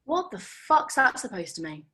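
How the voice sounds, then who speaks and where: A woman's voice: fairly high pitch, big pitch swings, moderate pace, sharp tone. A woman reading the part of a feisty, blunt, working-class young woman in a comedy script, colloquial and mocking. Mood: indignant. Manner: colloquial, mocking, non-standard grammar. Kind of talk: table read of a comedy screenplay.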